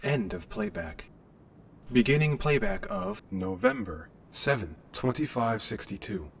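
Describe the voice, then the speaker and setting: A man's voice: sing-song, a little slow, creaky. A man voicing an impersonal spaceship log recorder, giving formal, clipped system announcements in an audio drama. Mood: impersonal, procedural. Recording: distant, faintly noisy with rumble.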